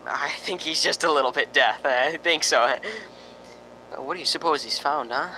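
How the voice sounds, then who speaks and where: A man's voice: fairly high pitch, moderate pace, pushed and bright, slight background noise, rough recording. A man playing a nervous, lonely radio reporter in a spooky radio drama, stammering and rambling with sighing ohs. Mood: uneasy, rambling. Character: jittery, lonely radio reporter broadcasting from a haunted house.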